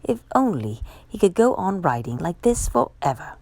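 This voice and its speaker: Woman, storytime reader, lowish pitch, creaky edges, expressive children's read-aloud, dry close sound. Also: no room sound. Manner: expressive, measured storytelling delivery.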